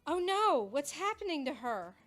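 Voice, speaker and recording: A woman playing a curious, excitable young student in an audio drama. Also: high-pitched, normal speed, faint music behind. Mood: alarmed.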